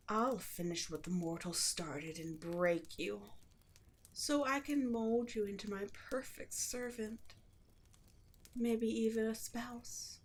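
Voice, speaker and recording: A woman playing an imperious, seductive demon queen in a roleplay audio drama, slow and theatrical, often slipping into a breathy whisper. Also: medium pitch, a little mellow.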